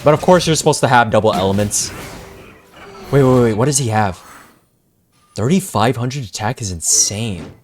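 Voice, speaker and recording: A young man reacting casually to a game on stream, slangy and excitable, often repeating himself. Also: mid-range voice, normal speed, long pauses, soft background music. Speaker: gaming streamer.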